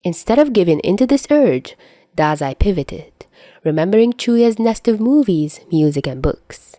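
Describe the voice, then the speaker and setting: A woman's voice: mid-range voice, big pitch swings, normal speed, mellow, no room sound, clean studio sound. A woman reading a scripted piece of fiction as its narrator.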